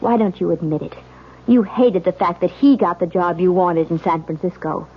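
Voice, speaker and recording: A woman's voice: lowish voice, steady tone, normal speed, husky. A woman playing a distraught, suspicious young newlywed wife in a 1970s radio drama, with intense, theatrical delivery. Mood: cold, bitter. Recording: muffled vintage radio recording.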